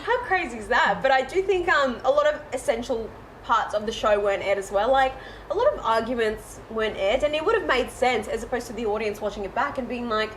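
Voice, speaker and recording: A woman's voice: on the high side, fairly quick, a little hiss or hum, poor audio. A woman in her twenties, raised in Israel, chatting as a guest and laughing often. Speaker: guest, reality show runner-up. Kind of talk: casual interview chat.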